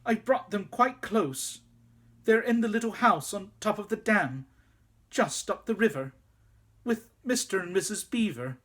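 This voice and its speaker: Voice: mid-range voice, expressive, unhurried, a bit bright, voice pushed up. A storyteller voicing a frightened young boy who stammers and pleads. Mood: pleading.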